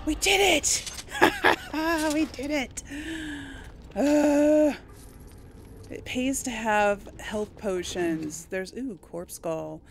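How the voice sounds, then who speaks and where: A woman giving casual, expressive gameplay commentary, reacting aloud and talking to the game's characters. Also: high-pitched, drawn-out words, long pauses, slight background noise. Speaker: gamer narrating a role-play let's play. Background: game music behind the voice.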